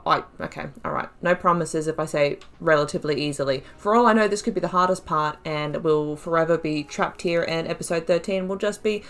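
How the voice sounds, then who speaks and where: A woman's voice: fairly low pitch, a bit bright. A woman hosting a video game playthrough, talking in a chatty, rambling, self-deprecating and jokey way as she comments on her play. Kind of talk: casual gameplay commentary.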